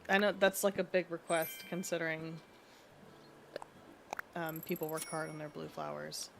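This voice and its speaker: A woman's voice: deep voice, little pitch movement, normal speed, creaky, background noise. A sniffly woman streamer chatting casually and thinking aloud while she plays a game. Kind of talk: casual gaming chat.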